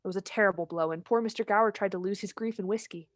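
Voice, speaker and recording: Woman playing a quick-talking senior angel. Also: medium pitch, dry recording, lightly acted. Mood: storytelling. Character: brisk, authoritative senior angel giving orders. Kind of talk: old-time radio play acting, storytelling.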